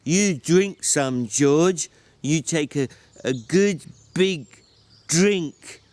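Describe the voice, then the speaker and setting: A man's voice: a little high, animated, drawn-out words, darkish tone, no echo, pitched above the performer's own voice. A man playing a huge, simple, childlike and happy male labourer, eager and emphatic, in a dramatic story reading. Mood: happy, coaxing.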